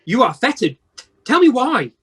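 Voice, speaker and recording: A man playing a crabby old miser in a radio play, with a husky voice and a crotchety, easily rattled manner. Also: very high voice, sing-song, normal speed, fairly bright tone, heavily acted. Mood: frightened, pleading. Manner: crotchety, stammering when frightened. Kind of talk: amateur radio play acting.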